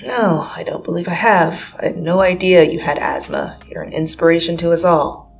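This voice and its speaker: A woman's voice: fairly low pitch, very animated, moderate pace, creaky, a little hiss or hum, low-quality recording. A woman as an exasperated, protective teenage boy. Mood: dry sarcasm. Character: teenage boy best friend, protective, exasperated, drily sarcastic. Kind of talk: dramatic fan fiction reading. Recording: music behind the voice.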